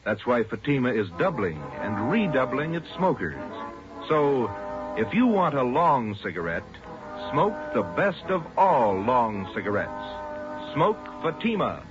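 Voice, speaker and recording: A man pitches a product in a polished, emphatic 1940s radio style. His mid-pitched voice is very animated. The broadcast recording is muffled. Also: a little slow, music in the background. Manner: emphatic, polished salesman delivery. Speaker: radio commercial announcer.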